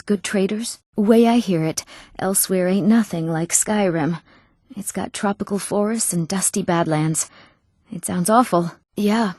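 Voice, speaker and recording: A woman's voice: lowish voice, a little mellow, dry recording, studio quality, lightly acted. A young woman voicing an eager, proud young Nord townswoman in scripted fantasy game dialogue, with an earnest delivery. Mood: frank, matter-of-fact. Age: young adult. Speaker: video game voice actor.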